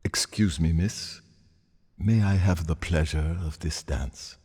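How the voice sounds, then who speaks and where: An actor playing an awkward, gently coaxing man in a TV drama, polite, hesitant and placating. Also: low voice, slow pace, slightly rough, in a large hall, exaggerated voice. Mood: awkwardly polite. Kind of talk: TV drama acting.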